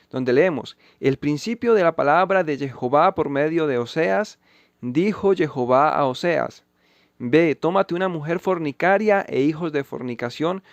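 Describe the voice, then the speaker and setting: Measured man with a gravelly voice. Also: on the high side, no room sound. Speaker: channel host refuting a pastor. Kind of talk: scripted commentary with scripture reading.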